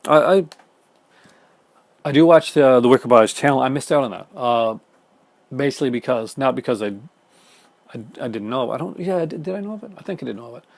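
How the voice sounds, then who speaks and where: A Canadian man talking fast and casually to the camera at home, with many fillers and restarts. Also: mid-range voice, animated, creaky, a little mellow, no room sound. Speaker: film collector YouTuber. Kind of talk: casual chat reading viewer comments. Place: home room in front of film shelves.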